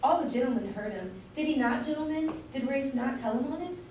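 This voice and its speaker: A woman's voice: high-pitched, lively intonation, fairly quick, darkish tone. A young woman acting a chatty, doting, meddling citizen's wife who gushes and interjects in a staged reading of a Jacobean comedy. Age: young adult. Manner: gushing, interjecting. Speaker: student actor. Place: indoor room, far from the mic. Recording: distant voice, very echoey room, faint noise.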